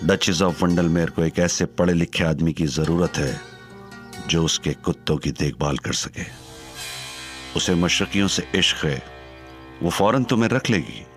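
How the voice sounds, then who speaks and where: An actor playing a stern, menacing crime boss in an audio drama, deep and commanding. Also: male voice, natural voice. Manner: stern, threatening, scornful. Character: menacing Chinese crime boss, older man.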